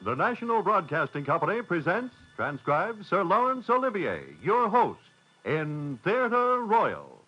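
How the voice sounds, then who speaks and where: A man working as a network announcer in a booming, ceremonious 1950s radio style. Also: sing-song, unhurried. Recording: muffled vintage radio, loud music behind.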